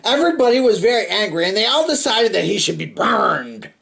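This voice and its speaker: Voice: mid-range voice, sing-song, fairly quick, creaky, fairly bright tone. A storybook reader reading aloud to children in a theatrical, playful way with chatty asides.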